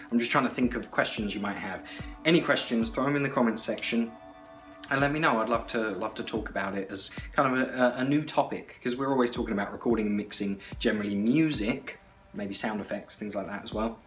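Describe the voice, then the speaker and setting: A man's voice: lowish voice, fairly bright tone. A man hosting an audio tutorial, explaining things informally in a conversational, rambling way and often repeating himself. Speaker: host of an audio tutorial channel. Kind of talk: informal explainer talk to camera.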